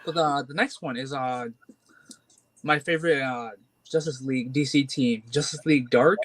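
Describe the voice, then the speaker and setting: A man's voice: mid-range voice, steady tone, moderate pace. A man in his twenties, a comic collector, talking casually and hesitantly with many fillers and restarts. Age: 20s. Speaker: guest collector. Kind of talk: casual chat.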